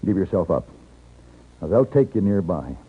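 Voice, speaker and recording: A man playing a calm, principled gunfighter hero in a 1950s radio western, measured and firm. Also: low voice, slightly rough, full character voice. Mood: firm, reasoning. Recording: noisy muffled 1950s radio recording.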